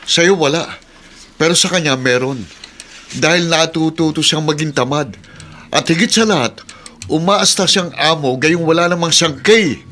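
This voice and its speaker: A scornful office rival in a radio drama. He taunts in a combative way, with a raised pitch and a bright, cutting edge. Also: normal speed, a little hiss or hum. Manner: mocking, combative.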